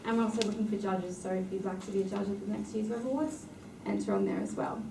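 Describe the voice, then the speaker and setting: A woman hosting a tech meetup, briskly running through announcements and introducing the speaker. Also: mid-range voice, steady tone, gravelly. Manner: brisk, reads housekeeping notes with many "uh" fillers. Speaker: meetup host. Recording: echoey room from far off with background noise.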